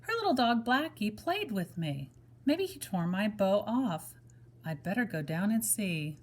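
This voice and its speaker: A woman's voice: medium pitch, sing-song, a little slow. A woman voicing a worried, earnest little male toy bunny in a children's storybook. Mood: thoughtful. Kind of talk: children's storybook read-aloud.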